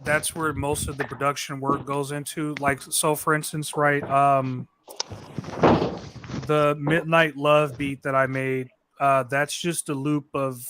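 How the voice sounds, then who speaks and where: A man's voice: medium pitch, flat delivery, takes it slowly, background noise. A man talking casually about making beats, rambling, with lots of restarts and fillers. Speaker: beat maker. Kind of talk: casual conversation.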